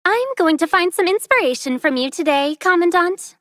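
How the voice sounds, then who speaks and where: Very high-voiced playful young artist girl. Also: lively intonation, moderate pace, fairly bright tone, dry recording, studio quality. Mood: cheerful, teasing. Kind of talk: video game character voice lines.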